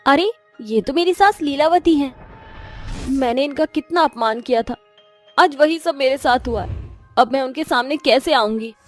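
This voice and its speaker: Theatrical high-voiced actress as a scheming daughter-in-law. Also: female voice, moderate pace, soft background music, lightly acted. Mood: distressed. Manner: theatrical, emphatic. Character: scheming, cruel daughter-in-law, later a desperate, remorseful mother. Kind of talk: animated moral-story voice acting.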